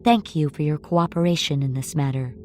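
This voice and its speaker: A woman's voice: deep voice, steady tone, a little fast, a little mellow, soft background music, close to an everyday voice. A woman performing a wry, conversational young newcomer to the city, narrating first-person horror audio fiction. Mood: formal reading. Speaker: story narrator.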